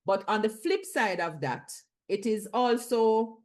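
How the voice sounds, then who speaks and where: Jamaican woman talking in lively Patois. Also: mid-range voice, unhurried. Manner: animated, drifts into Jamaican Patois. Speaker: actress on a panel. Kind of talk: casual panel discussion.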